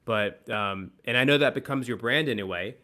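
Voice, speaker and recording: A young man hosting a video call interview, asking friendly, halting questions with fillers from a room at home. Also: mid-range voice, sharp tone. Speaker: host. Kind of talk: interviewing a guest.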